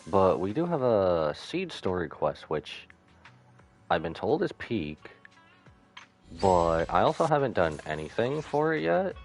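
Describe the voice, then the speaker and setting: Male game streamer, very low voice with animated intonation, casual rambling commentary. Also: measured pace, faint music behind. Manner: rambling, drifts into asides. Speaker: live-streamer.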